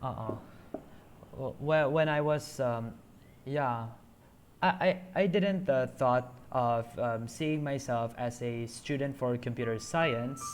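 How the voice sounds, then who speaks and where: A man's voice: mid-range voice, slow pace, a little hiss or hum. A man streaming a story game, chatting casually and rambling with many hesitant fillers.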